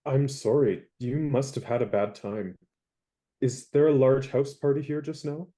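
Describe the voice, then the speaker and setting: A woman's voice: extremely low pitch, a little slow, dark tone, pitched below the performer's own voice. A woman voicing a polite young male valet in a radio play, answering tersely.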